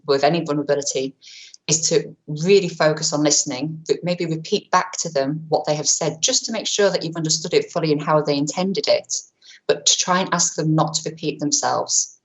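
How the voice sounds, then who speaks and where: A woman presenting an online training webinar, talking quickly in a chatty way with many fillers and self-corrections, into a webcam mic. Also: low-pitched, steady tone, poor audio. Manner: says she has a powerful voice. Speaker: webinar presenter on vulnerable clients.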